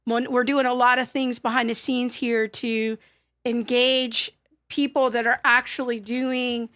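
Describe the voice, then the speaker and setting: A woman's voice: fairly high pitch, flat delivery, a little slow, fairly bright tone, no room sound. A woman in her forties co-hosting a talk show from a small studio desk, chatty and thankful as she wraps up. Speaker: co-host. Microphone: desk microphone.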